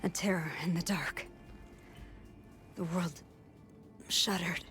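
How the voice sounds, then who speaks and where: An actress playing a weak, dying caravan survivor in a video game, breathless and speaking in halting fragments. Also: female voice, lowish voice, steady tone, fairly bright tone, soft background music. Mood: weak, frightened. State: weak, breathless, barely whispering. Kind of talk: video game cutscene acting.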